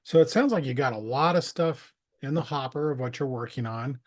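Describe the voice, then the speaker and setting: Male interviewer, mid-pitched voice. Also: fairly quick. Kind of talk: casual chat.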